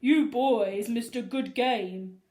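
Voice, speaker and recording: A woman voicing a stern, gloating male school principal in a storybook, slow and deliberate. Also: fairly high pitch, dark tone, reverberant. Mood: smug, mock-friendly.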